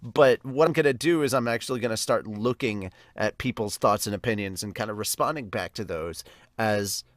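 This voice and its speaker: A man's voice: on the low side, moderate pace, dry recording, studio quality. A man giving a casual, rambling, enthusiastic review as a podcast host. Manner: rambling, enthusiastic fan talk. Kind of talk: casual live reaction and review.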